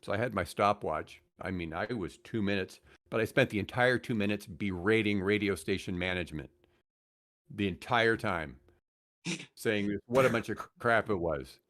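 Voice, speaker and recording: An older man with an American accent, telling rambling, animated anecdotes casually as a radio veteran on a podcast. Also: fairly low pitch, normal speed. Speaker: radio host and guest.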